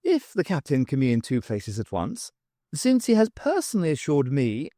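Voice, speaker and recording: A man voicing a haughty old lady academic in an audiobook, pompous, competitive and quick to scold. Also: mid-range voice, huge pitch swings, mellow, no echo, clean studio sound. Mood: boastful. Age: older. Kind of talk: audiobook character voice.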